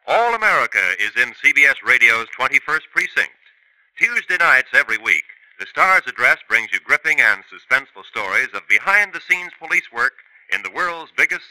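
A man hosting and narrating a 1950s radio crime drama in a measured, dramatic way. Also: mid-range voice, bright, forceful tone, no echo, rough recording. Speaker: narrator and host. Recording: old radio broadcast with music.

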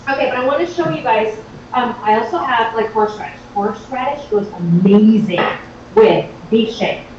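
High-voiced excitable woman, very echoey kitchen. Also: normal speed. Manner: chatty, excitable, informal. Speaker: host of a live cooking show. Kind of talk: casual live cooking demonstration. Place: home-style kitchen, indoors. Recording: very echoey, distant, dull, faint noise.

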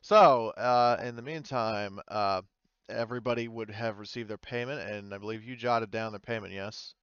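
A man's voice: on the low side, a bit bright, clear, resonant tone, no echo. Hesitant male game master, slightly dull audio. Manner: hesitant, halting. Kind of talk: casual narration of an online tabletop game.

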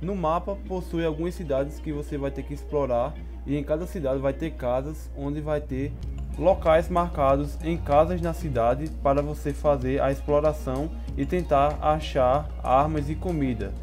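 A Brazilian man with a fairly high voice narrates a video game review over music. He explains things point by point, in the manner of a gaming video host walking viewers through features. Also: fairly even intonation, moderate pace, darkish tone. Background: music under the voice.

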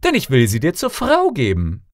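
A man voicing a fussy, eager old king and father in a fairy-tale audiobook, loud and animated. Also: mid-range voice, normal speed, no room sound, clean studio sound, pitched above the performer's own voice. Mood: eager, blustering.

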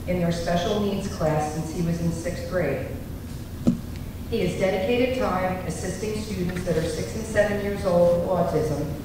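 A woman school board member reading a prepared award citation and statement aloud into a handheld mic at a public board meeting. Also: lowish voice. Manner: measured, formal reading. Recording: echoey hall, distant mic, noisy.

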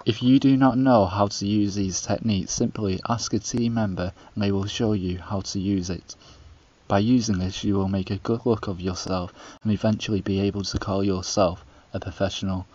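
A man's voice: lowish voice, steady tone, normal speed, darkish tone. A young man reading scripted narration for a student documentary in a stiff, instructional way. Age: young adult. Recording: dry dull lo-fi voice-over.

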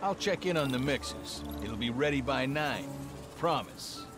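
An actor playing a laid-back, obliging mob henchman in a video game, low-key and casual. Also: expressive, measured pace, lightly acted. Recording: very loud music over voice.

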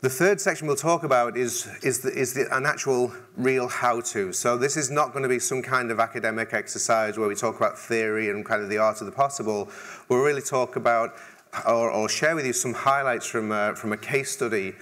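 A man's voice: mid-range voice, brisk, a bit bright. A middle-aged man with an English accent giving a keynote talk on a large conference stage, conversational, with dry humor and frequent restarts. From England. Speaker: conference speaker.

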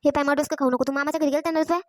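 A woman's voice: high-pitched, steady tone, very fast, dry recording, clean studio sound, lightly acted. A woman voicing an exasperated, scolding village mother in a cartoon, with a rural Vidarbha Maharashtrian accent and a repetitive, complaining manner. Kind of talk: Marathi cartoon comedy voice acting.

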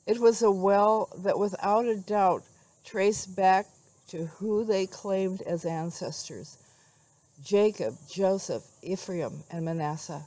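Older lady doing a reflective bible devotional outside, mid pitch, no echo, some insects chirping. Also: slow, dark tone. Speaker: devotional speaker. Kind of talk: devotional talk with scripture reading. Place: outdoors among trees.

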